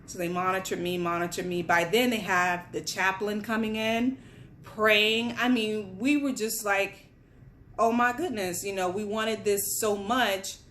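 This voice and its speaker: A woman's voice: mid-range voice, fairly even intonation, measured pace, fairly bright tone, slight background noise. A young woman from Guyana, pregnant and on bed rest, telling a candid personal story in a casual chatty way while sitting at a table at home. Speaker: vlogger. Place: home, at a table among boxes.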